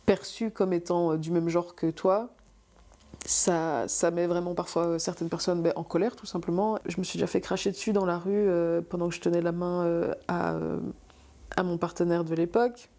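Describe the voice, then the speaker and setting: A young woman giving a personal testimony, halting and thoughtful, with many fillers. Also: fairly low pitch, mellow. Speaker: interviewee.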